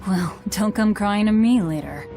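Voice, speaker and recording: A woman's voice: mid-range voice, unhurried, warm tone, faint music behind, lightly acted. An actress playing a blunt, vengeful young warrior woman in a video game, with a cool, commanding manner. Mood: grim, resolute. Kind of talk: dramatic English game voice acting.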